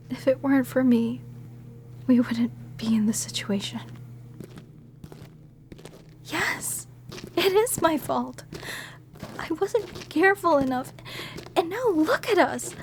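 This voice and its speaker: A woman voicing a frightened, guilt-ridden female researcher held captive, speaking in a slow, pleading way. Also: sits high, a little hiss or hum, full character voice. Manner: pleading, slow, emotional delivery. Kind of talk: audio roleplay drama.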